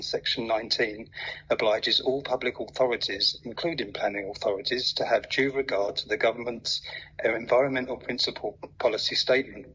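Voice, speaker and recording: A man's voice: medium pitch, pushed and bright, lo-fi sound, slight background noise. A man, reading a formal, legalistic prepared objection to a planning committee in an emphatic way. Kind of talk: formal prepared statement read aloud at a public meeting.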